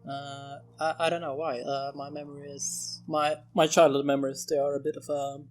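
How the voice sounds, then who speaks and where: A Swedish-accented man roleplaying his character, speaking hesitantly with many pauses. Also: a little high, little pitch movement, soft background music. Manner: hesitant, filler-heavy. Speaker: player.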